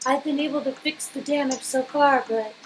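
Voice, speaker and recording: A woman's voice: high voice, steady tone, moderate pace, natural voice, low-quality recording. A woman acting out a spirited, protective young female captive in a casual, emotive way, with noise behind her. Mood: concerned, cheeky.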